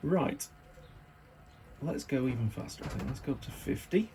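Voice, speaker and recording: A slightly croaky man chatting casually as he shows off and tests a model locomotive, repeating his praise and filling pauses with ums. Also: medium pitch, expressive, darkish tone, slight background noise. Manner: chatty, repeats praise words, many fillers. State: croaky from hay fever and little sleep. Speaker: model railway hobbyist reviewer. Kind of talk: casual unboxing and test-run commentary.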